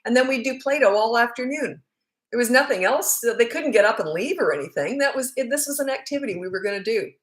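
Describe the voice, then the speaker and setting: A woman's voice: a little high, lively intonation, fast. A middle-aged woman with a Canadian accent, a parenting coach answering viewer questions live in a frank, chatty, rambling way.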